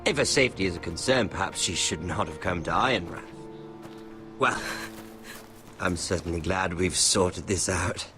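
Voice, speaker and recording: A man's voice: sits low, moderate pace, music in the background, lightly acted. An actor playing a sadistic young nobleman villain in a video game drama, drawling with mock friendliness and theatrical menace. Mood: mock-friendly menace. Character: sadistic, mocking young nobleman villain who toys with victims.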